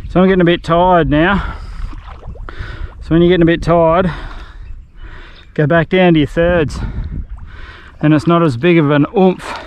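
A man's voice: a little high, fairly even intonation, unhurried, pauses often, clear, resonant tone. A man with an Australian accent talking casually to an action camera while fishing from a small boat in a creek, chatty and swearing now and then. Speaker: fishing vlogger. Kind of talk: casual outdoor narration to camera.

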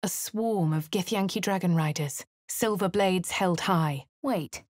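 Posh English heroine: composed, haughty, husky low tone, dry studio sound. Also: female voice, a little slow, a little mellow, no echo. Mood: measured. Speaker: player character. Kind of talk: video game voice lines and narration.

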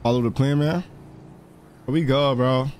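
A man's voice: medium pitch, moderate pace, a little mellow, a little hiss or hum. A man playing a crime role-play game, talking in profane, repetitive street slang and bossing others around. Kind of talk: in-character gaming banter, street slang.

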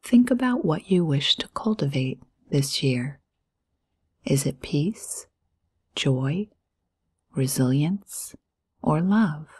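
A low-pitched woman leads a guided meditation in a breathy, near-whispered voice. She speaks very slowly and soothingly, leaving generous pauses between short phrases. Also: animated, a little mellow.

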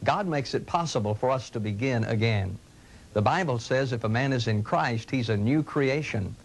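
A middle-aged man, a pastor, speaking in an earnest, gentle, inviting tone in scripted television ads from the 1980s. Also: mid-range voice, normal speed. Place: studio sets and church rooms, indoors. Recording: 1980s local TV ad audio.

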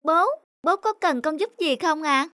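A woman's voice: high voice, unhurried, bright, forceful tone, no echo. A woman voicing a caring, dutiful little schoolboy in a cartoon, childlike and earnest. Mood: eager. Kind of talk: cartoon dubbing.

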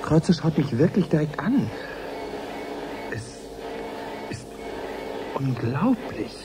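A man's voice: fairly high pitch, big pitch swings, slow. Awestruck male reporter over music. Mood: awed, searching. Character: eager, awestruck male live science reporter. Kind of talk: 1980s German radio drama, live commentary.

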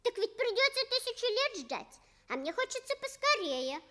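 Bright, very high little girl. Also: very animated, moderate pace, full character voice. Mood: confiding, earnest. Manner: childlike, bright, eager. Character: earnest, excitable six-year-old girl. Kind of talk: Soviet children's radio play.

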